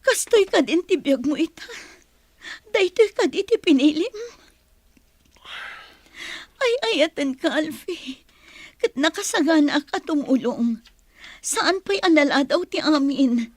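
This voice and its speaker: High-pitched young Filipino fiancée, distressed, pleading, tearful, radio drama, close dry sound. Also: female voice, animated, a little slow, long pauses, no echo. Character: distressed young woman pleading over her gambling-addicted fiancé. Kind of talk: Philippine radio drama acting.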